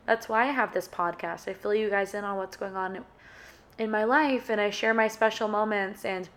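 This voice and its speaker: A woman's voice: medium pitch, a bit bright. Chatty young woman with a sore throat. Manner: chatty and friendly, with many "uh" and "like" fillers. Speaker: podcast host. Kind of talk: casual solo podcast chat.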